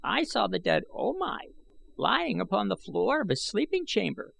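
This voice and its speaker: A man's voice: high-pitched, very animated, normal speed, gravelly, a bit bright, slight background noise, voice pushed up, exaggerated voice. A man voicing a sarcastic, fearless old taxidermist in an adventure storybook. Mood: boastful, fearless. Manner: taunting, stubbornly fearless. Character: sarcastic, defiant old male taxidermist of an alien city.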